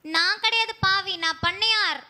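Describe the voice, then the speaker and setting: A touchy village landlord puppet who takes offence at everything, put on by a woman. The voice is very high and bright. He answers in huffy, defensive bursts. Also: normal speed, full character voice. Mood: indignant. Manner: indignant, defensive.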